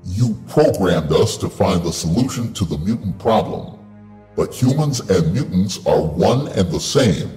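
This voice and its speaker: An actor playing a giant, menacing robot overlord in an audio drama, speaking coldly and slowly. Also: male voice, sits low, lively intonation, dark tone, reverberant, faint music behind. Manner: cold, slow and menacing.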